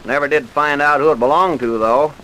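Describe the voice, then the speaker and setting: Bright-voiced, dogged radio-drama police trooper played by a man. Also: fairly high pitch, brisk, close to an everyday voice, rough recording. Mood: intent, puzzled. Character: earnest, dogged young police trooper investigating a killing. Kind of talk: old-time radio drama acting. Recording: hissy vintage radio recording.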